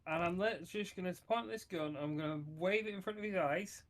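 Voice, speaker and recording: A man's voice: high-pitched, a little fast, a bit bright. A man playing in a tabletop roleplaying game, talking casually with swearing and announcing what his character does.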